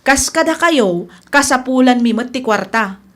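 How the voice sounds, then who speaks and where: An aggrieved young boarder, acted by a woman in a radio drama, with an Ilocano accent. Her voice is very high. She presses her complaint insistently. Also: expressive, unhurried, a bit bright.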